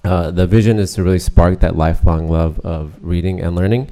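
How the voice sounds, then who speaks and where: Middle-aged man, deep and mellow, monotone, hesitant; presenting at a board meeting. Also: a little fast. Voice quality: monotone, many uh fillers. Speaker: school district presenter.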